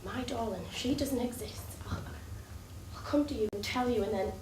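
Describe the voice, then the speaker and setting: A devoted, self-sacrificing woman in love, played on stage by an actress, pleading in earnest, measured phrases. The voice is high and theatrical. The recording is distant and echoey, with clear background noise. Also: a little mellow, lightly acted. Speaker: stage actor. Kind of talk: theatrical stage drama dialogue.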